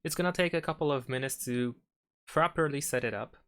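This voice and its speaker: A man with a clean, smooth voice at a medium pitch presents a how-to tutorial. He explains each step in an instructional, unscripted manner, as a host guiding the listener through a task. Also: brisk, a bit bright. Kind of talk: step-by-step spoken phone tutorial.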